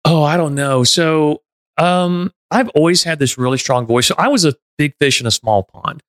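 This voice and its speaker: A man's voice: fairly high pitch, normal speed, a bit bright, no echo. Earnest man from Texas, dry studio sound. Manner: earnest, self-reflective, frequent restarts. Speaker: podcast host. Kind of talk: casual conversation.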